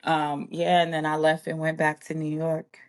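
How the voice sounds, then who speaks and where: Woman in her 40s from jamaica, kinda low voice, talks in loops and fixes herself a lot, laughs easy. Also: completely flat delivery, moderate pace. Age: early 40s. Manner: rambling, self-correcting, laughs often. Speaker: guest. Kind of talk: casual interview chat.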